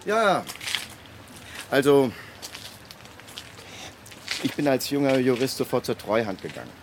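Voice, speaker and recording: An actor playing an excitable lawyer in a radio drama, speaking emphatically with dramatic pauses. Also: male voice, medium pitch, slight background noise. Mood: confiding, emphatic. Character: excitable male lawyer, indignant, relishing his personal tale.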